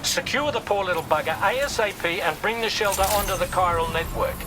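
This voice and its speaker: A man's voice: high-pitched, a little fast, noisy background, lightly acted. A man playing an urgent, practical mission dispatcher with colloquial talk in a video game. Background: music.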